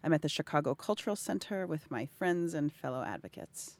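A woman's voice: low voice, animated, brisk, darkish tone. A woman in her thirties speaking as an interviewer in a heartfelt, effusive and emotionally open conversation. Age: 30s. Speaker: interviewer and health advocate.

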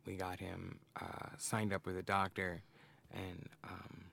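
Deep gravelly man, faint room noise. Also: completely flat delivery, slow, a bit bright. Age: 40s. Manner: supportive, plain-spoken. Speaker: interviewee's partner. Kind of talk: oral history interview.

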